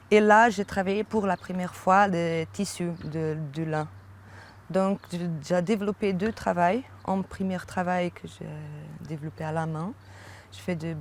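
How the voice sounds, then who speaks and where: A visiting artist from Portugal with a Portuguese accent, describing their work in a second language and pausing often to search for words. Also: mid-range voice, a little hiss or hum.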